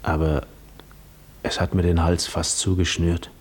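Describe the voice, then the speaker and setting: An actor playing a weary, despairing wanderer telling his story in a brooding, halting, husky voice for a 1950s German radio drama. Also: low voice, flat delivery. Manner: brooding, halting, literary narration.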